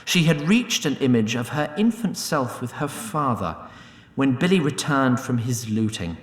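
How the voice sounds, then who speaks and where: A man's voice: mid-range voice, very animated, measured pace, echoey room. A man narrating a scripted fantasy tale in a theatrical, mock-grand and droll storyteller's manner.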